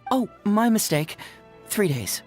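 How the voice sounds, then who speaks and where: A man's voice: high-pitched, sing-song, slow, faint music behind, full character voice. Breezy, teasing young male detective. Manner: playfully needling, polite and friendly. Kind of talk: video game voice acting.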